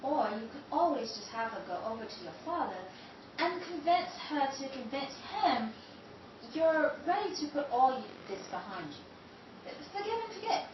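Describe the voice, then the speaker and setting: A woman's voice: sits high, big pitch swings, moderate pace, heavy reverb, recorded from a distance, muffled recording, background noise. An actress playing a scheming, defensive married woman who stammers evasively, in a 1930s-style radio drama. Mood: guarded.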